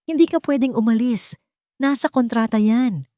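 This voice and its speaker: A woman's voice: a little high, moderate pace, dark tone, no echo, studio quality, voice pushed up, natural voice. A woman voicing a guarded young male CEO in a dramatic audio love story, urgent and emotionally strained. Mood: stern.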